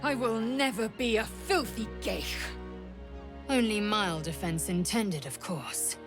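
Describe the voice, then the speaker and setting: A woman's voice: medium pitch, slow, music in the background. A woman voicing a proud, fierce young alien warrior in a video game, with a clipped, imperious manner. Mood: tender pride. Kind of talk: dramatic video game acting.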